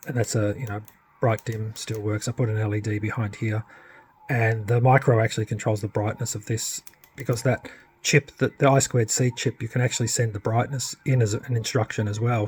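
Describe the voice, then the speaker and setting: Husky man casually explaining electronics. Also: medium pitch, darkish tone. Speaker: electronics hobbyist and channel host demonstrating a repair. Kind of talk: casual unscripted technical walkthrough.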